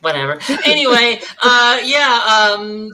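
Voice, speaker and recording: A woman's voice: a little high, sing-song, measured pace, bright, forceful tone. A young American woman from Minnesota, an actress chatting casually as a guest in an informal, rambling way. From Minnesota, United States. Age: young adult. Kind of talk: casual chat.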